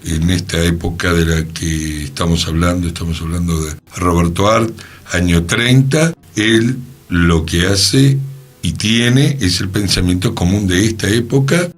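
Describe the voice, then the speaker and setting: Low, husky Argentine man. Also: a little slow. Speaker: radio show panelist. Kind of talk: reflective conversational discussion.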